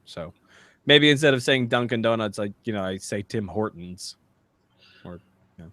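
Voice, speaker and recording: A man with an American accent talking casually as a podcast co-host, halting and a little pedantic. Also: fairly low pitch, animated, a bit bright, no room sound. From United States. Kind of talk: casual chat, reading text aloud.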